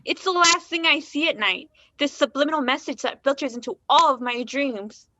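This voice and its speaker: A woman's voice: high voice, moderate pace, bright, forceful tone, no echo, lo-fi sound. A woman acting a naive, unsettled nineteen-year-old confiding in a stranger in a naturalistic play. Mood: exasperated. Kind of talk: naturalistic one-act play dialogue.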